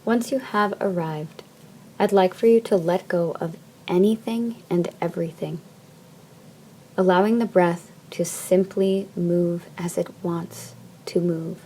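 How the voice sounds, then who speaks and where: Soft, mellow woman guiding deep relaxation. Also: steady tone, a little hiss or hum. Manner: soft, soothing, measured phrasing with long pauses. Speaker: yoga nidra teacher. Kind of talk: guided meditation, slow instruction.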